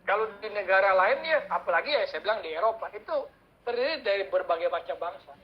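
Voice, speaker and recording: A man giving a casual workshop talk as guest speaker, chatty and animated, telling anecdotes in a slangy way. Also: sits high, quick pace, muffled recording, slight background noise. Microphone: online call mic.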